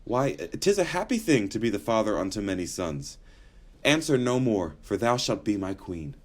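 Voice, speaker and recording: A man's voice: lowish voice, big pitch swings, normal speed. An actor playing a lusty, commanding bachelor king, teasing and imperious in theatrical Shakespearean dialogue. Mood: insistent wooing.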